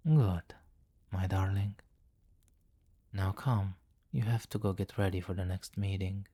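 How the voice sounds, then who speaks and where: A deep, slow-speaking vampire king, acted by a man for an ASMR roleplay. He is commanding and possessive, addressing the listener intimately with teasing condescension. The recording is close and very dry. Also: fairly even intonation, dark tone, no echo. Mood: coaxing, commanding.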